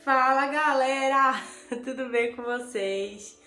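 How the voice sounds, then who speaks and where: A woman's voice: high-pitched, slow pace, pushed and bright, roomy sound. A Brazilian Portuguese woman with a cold giving an unscripted personal testimony, hesitant and full of fillers as she talks to the camera. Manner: hesitant, filler-heavy, repeats herself. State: has a cold, sniffling. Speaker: vlogger giving a conversion testimony. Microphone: camera and phone.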